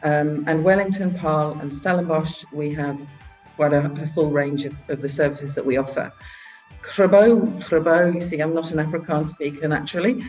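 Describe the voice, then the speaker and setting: A woman who heads a charity, presenting at a virtual annual meeting in a halting way, with frequent filler sounds. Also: low voice, fairly even intonation, darkish tone, soft background music, rough recording. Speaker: head of a charity organisation.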